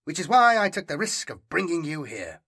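A man's voice: a little high, big pitch swings, normal speed, sharp tone, breathy edge, voice pushed up, exaggerated voice. A man voicing a smug, self-assured male fugitive in an audiobook, superior and teasing in manner. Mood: amused, teasing. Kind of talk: audiobook character dialogue.

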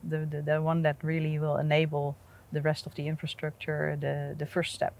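A middle-aged woman speaking in an outdoor interview, halting with many restarts and easy laughs, on a lapel mic. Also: deep voice, monotone, gravelly, a little mellow, slight background noise. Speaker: energy company representative. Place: outdoors at an industrial port site.

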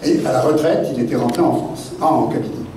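An older man, a guest author seated at a desk microphone, telling memories in a halting, digressive way full of fillers. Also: medium pitch, dark tone, a little hiss or hum. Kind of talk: rambling spoken memoir talk. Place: literary café meeting room. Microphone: desk mic on stand, seated. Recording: very echoey room, mic at a distance.

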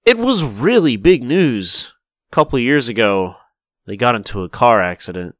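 An actor plays a male shop manager in a voiced visual novel, cautious and eager to placate. His delivery is emphatic and very animated, in a close, dry recording. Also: mid-range voice, moderate pace, no echo. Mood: gossipy, cautioning.

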